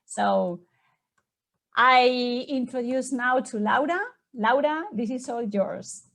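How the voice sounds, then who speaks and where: A woman's voice: on the high side, takes it slowly, gravelly, a bit bright. A woman moderating an online school science session and running a quiz for children. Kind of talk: lively quiz hosting for children.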